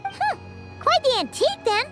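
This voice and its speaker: A woman voicing a tiny, cheeky, skeptical fairy sidekick in a video game, with a squeaky childlike voice and pert delivery. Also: sing-song, moderate pace, music in the background. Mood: skeptical teasing. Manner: pert, refers to herself by name. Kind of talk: cartoonish video game voice acting.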